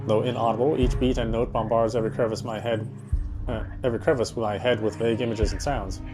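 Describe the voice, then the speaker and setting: Fast-reading man narrating over music. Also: fairly low pitch, level tone. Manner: stumbles over words and rereads phrases. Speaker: game player reading story text aloud. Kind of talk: dramatic read-aloud narration. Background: game music.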